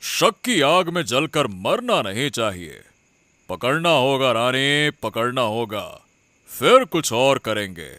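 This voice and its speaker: A man playing a troubled, suspicious king in a cartoon story, speaking in a measured, stately manner. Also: medium pitch, big pitch swings, bright, forceful tone, no room sound, heavily acted. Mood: brooding, firm. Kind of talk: Hindi cartoon story dialogue.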